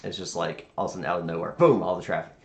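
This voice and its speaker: A man streaming as a virtual air traffic controller, chatting casually in a halting, rambling way as he thinks aloud. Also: deep voice, fairly even intonation, creaky. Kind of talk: casual livestream chat. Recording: roomy sound with faint background noise.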